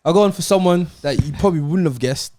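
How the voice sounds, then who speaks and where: A man's voice: on the high side, quick pace, noisy background. A man chatting and joking casually about football on a podcast, in informal slangy speech. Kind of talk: casual chat, joking banter.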